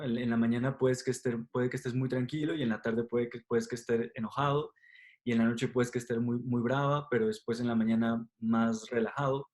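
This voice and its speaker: A man's voice: mid-range voice, a little mellow. A man with a Mexican accent answering interview questions as a Buddhist teacher, explaining didactically with rhetorical questions and tags.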